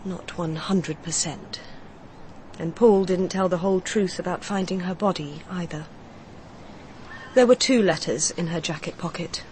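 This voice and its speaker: An actress playing a grieving mistress confiding in a detective in a radio crime drama, speaking in a measured, confessional way. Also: female voice, lowish voice, a little mellow, a little hiss or hum, natural voice.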